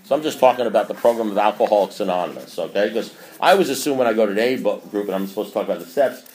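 A man's voice: fairly low pitch, racing, a little mellow, slight background noise. A man in his sixties speaking at a recovery meeting, preachy and rambling, often mocking himself. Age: 60s. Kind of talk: meeting talk. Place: meeting room. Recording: echoey room.

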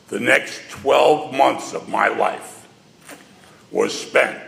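An older man tells his story slowly and deliberately, his voice deep with gravel and grit. He speaks into a stage microphone, and his wry, self-mocking asides ring out through a large hall with a long, roomy reverb. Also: a bit bright. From New York. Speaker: speaker addressing an audience. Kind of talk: personal storytelling talk. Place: large hall before a live audience.

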